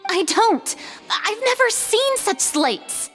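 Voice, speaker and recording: An actress playing a flamboyant, evasive young female goddess who speaks in a theatrical, defensive, dramatic way, heard as game audio with reverb over soft music. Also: extremely high pitch, sing-song, slow, heavily acted.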